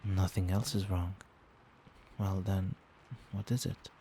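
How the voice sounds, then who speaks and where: A man playing a doting, gentle vampire boyfriend in a soft-spoken ASMR roleplay, tender, reassuring and coaxing. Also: low-pitched, little pitch movement, slow pace, a little hiss or hum. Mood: gentle concern. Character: doting, gentle male vampire boyfriend soothing partner to sleep.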